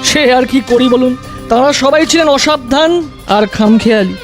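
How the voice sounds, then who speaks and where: A man's voice: extremely high pitch, normal speed, faint music behind. A man voicing a blunt spirit attendant to a king in a cartoon, frank and proclaiming. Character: blunt male ghostly gandharva attendant serving a king. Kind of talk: cartoon voice acting.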